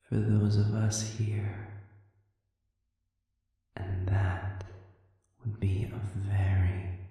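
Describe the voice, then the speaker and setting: A performer voicing a sadistic male demon prisoner in an audio roleplay, speaking formally and sardonically with a taunting, manipulative silkiness that often sinks toward a breathy whisper. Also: sits low, dark tone, heavily acted. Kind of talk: slow audio roleplay monologue addressed to listener. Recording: cavernous echoing reverb, distant and muffled.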